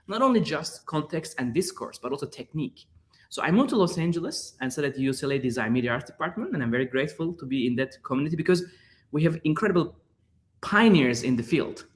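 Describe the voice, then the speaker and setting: Turkish-accented man, searching animated answers. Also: on the high side. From Turkey. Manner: searching, repeats words, many "like" fillers. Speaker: guest artist. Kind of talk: interview answers.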